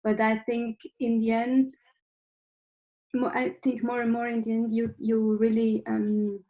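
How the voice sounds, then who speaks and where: A woman's voice: mid-range voice, mellow, rough recording. A woman in her forties from Switzerland, a film director speaking on a video call from a room at home with bookshelves, thoughtful and full of restarts. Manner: thoughtful, with many restarts and fillers. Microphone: laptop webcam video call.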